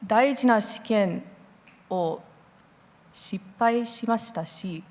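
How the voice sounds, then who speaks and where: A woman's voice: on the high side, takes it slowly, a little mellow. A young woman, a student contestant, giving an improvised answer with some hesitation. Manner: hesitant, correcting herself. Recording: echoey hall with long reverb.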